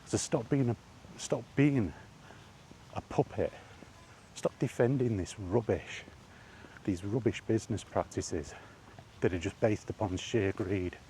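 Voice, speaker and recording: A man's voice: lowish voice, a little slow, long pauses, mellow, a little hiss or hum. Blunt man ranting outdoors. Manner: blunt, emphatic, lecturing. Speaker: gaming vlogger. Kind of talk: opinion rant while walking. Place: outdoors by allotments.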